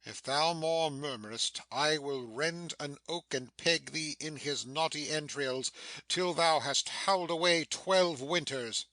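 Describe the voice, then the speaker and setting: An imperious sorcerer master, played by a man, declaiming in a Shakespeare audiobook drama. The voice is forceful and sharp, slightly high for a man, and recorded dry and close. He is stern, commanding and quick to scold. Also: no room sound, rough recording. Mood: menacing.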